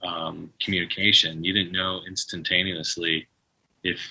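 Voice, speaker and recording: A man in his forties, a guest musician in a casual interview, telling an animated story full of restarts and imitated phone tones. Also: deep voice, moderate pace, gravelly, breathy edge, poor audio.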